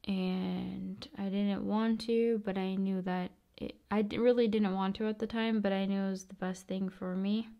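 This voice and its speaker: A woman speaking softly and often in a whisper, in an intimate, soothing ASMR style addressed to the viewer. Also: mid-range voice, little pitch movement, moderate pace, creaky, few pauses, dull audio. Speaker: ASMR video host.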